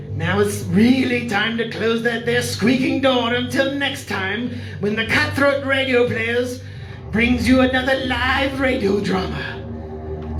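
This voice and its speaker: Ghoulish horror host done live, slow punny sign-off, music under, roomy stage. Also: mid-range voice, close to an everyday voice. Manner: macabre jokey, drawn-out delivery. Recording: roomy live room sound.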